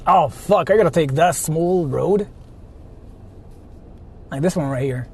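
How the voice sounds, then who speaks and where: A man's voice: a little high, unhurried, slightly rough. A man streaming live while he drives, talking to his chat and singing along to songs between remarks. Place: in a car.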